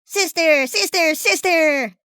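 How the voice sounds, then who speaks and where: A storyteller voicing tiny, excitable magical pony clones with a squeaky, childlike, chanting manner. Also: slow pace, no echo, heavily acted. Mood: excited.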